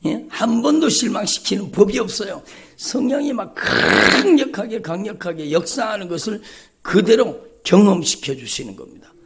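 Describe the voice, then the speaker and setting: A man's voice: high voice, big pitch swings, normal speed, creaky, fairly bright tone. A male pastor preaching an emphatic, repetitive sermon at a dawn church service, calling out often to the congregation. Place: church sanctuary.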